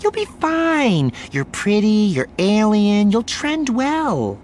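A man voicing a brisk, polished woman news broadcaster with a practiced, breezy, commanding manner in an audiobook. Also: fairly high pitch, wildly swooping pitch, a little hiss or hum, voice pushed up. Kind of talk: audiobook character voice.

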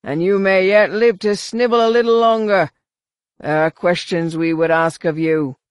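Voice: mid-range voice, flat delivery, a little fast, dry recording, studio quality, close to an everyday voice. A narrator voicing a massive alien war leader from an audiobook, contemptuous and zealous, with a sneering, menacing delivery. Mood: furious. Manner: sneering, menacing, barked commands.

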